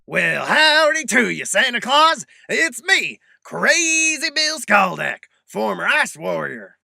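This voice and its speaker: A creaky, swaggering cowboy ice warrior. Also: male voice, extremely high pitch, sing-song, takes it slowly, sharp tone, no echo, studio quality, full character voice. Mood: brash, jolly. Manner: folksy drawling exclamations. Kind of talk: comic movie-trailer parody acting.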